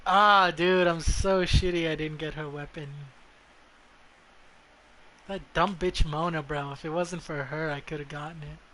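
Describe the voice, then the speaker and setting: A man's voice: fairly high pitch, steady tone, measured pace, sharp tone. A man giving casual gameplay commentary as a streamer, blunt and foul-mouthed, often muttering to himself. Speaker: game streamer. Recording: clearly noisy background.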